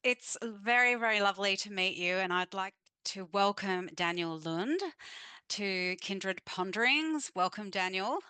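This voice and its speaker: A woman's voice: medium pitch, measured pace, clean tone, dry recording. A woman hosting a podcast interview over a remote call, with a hesitant, filler-filled way of asking questions. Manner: warm, hesitant, lots of fillers. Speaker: podcast host. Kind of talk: casual interview. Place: in Sydney.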